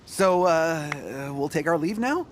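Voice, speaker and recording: A man playing a smarmy, cowardly bandit boss in a video game, oily, fawning and excitable. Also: a little high, slow, a little hiss or hum. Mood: nervous, fawning.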